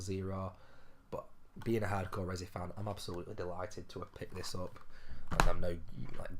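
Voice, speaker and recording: Guy, gaming vlogger, very low gruff voice, hoarse from a cold, chatty. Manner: chatty, addresses viewers as ladies and gentlemen. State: hoarse, losing his voice. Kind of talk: casual game pickups vlog.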